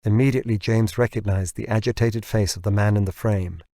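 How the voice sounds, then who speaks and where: Low-voiced male audiobook narrator, dry sound. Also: steady tone, a little fast, warm tone, dry recording. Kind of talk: scripted narration of a science fiction novel.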